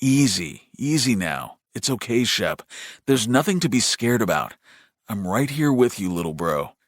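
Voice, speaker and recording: A patient, protective big brother, played by a male audiobook reader, speaks slowly in a middle register. His voice is coaxing and reassuring, with lively swings in pitch. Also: no echo, clean studio sound. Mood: concerned, soothing. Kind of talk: audiobook character voice.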